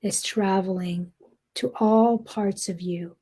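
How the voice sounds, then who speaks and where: A woman guiding a meditation in a soft, breathy, gentle voice, speaking deliberately with long pauses. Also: mid-range voice, steady tone. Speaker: meditation guide. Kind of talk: guided meditation, slow soothing narration.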